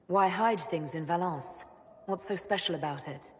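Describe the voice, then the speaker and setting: An actress playing a frank, level-headed elven woman who leads an order in a video game, speaking calmly and directly. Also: lowish voice, moderate pace, slight background noise, natural voice. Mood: curious, supportive. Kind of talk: video game cutscene dialogue.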